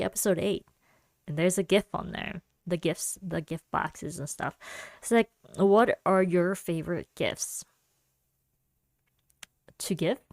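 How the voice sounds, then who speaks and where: A woman's voice: fairly low pitch, a little mellow, no room sound, studio quality. A young woman hosting a casual solo chat. She rambles with many fillers and giggles often. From United States. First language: Vietnamese. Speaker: podcast host.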